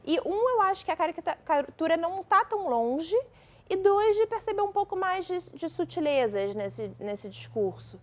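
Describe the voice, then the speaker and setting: A woman's voice: extremely high pitch, sing-song, moderate pace, a little mellow. A young woman from Brazil, a novelist guest in an interview, talking casually with many fillers and restarts.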